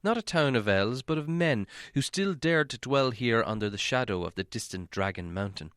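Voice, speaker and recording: A male audiobook narrator reads a fantasy story in a medium-pitched voice. His delivery is dramatic and measured storytelling, captured in a very dry recording with little room sound. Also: lively intonation, fairly bright tone, clear, resonant tone, dry recording.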